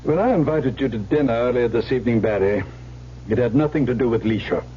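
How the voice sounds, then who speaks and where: An actor playing a proper, fussy older family lawyer in a radio drama, formal and cautious. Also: male voice, mid-range voice, lively intonation, quick pace, music in the background, lightly acted. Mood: disapproving. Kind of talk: 1970s radio drama acting. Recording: dull old radio recording, faint hum.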